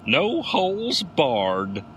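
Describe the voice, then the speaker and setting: Folksy male trucker sleuth, bright and forceful, very animated, wisecracking audio drama delivery. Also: fairly high pitch, very slow, slight background noise, full character voice. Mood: wry, folksy. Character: folksy tough male trucker and amateur detective. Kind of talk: comedic audio drama acting.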